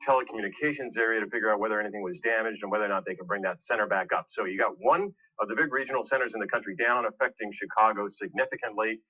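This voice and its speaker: A man's voice: fairly low pitch, racing, hardly any pauses, slightly rough. An American man reporting breaking news live in the manner of a broadcast correspondent. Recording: dull, muffled broadcast audio.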